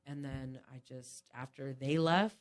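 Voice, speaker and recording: A woman's voice: rumbling low, a little slow, a little mellow. A woman in her thirties who grew up in Japan, talking casually as a guest in an interview, with many fillers and "you know"s.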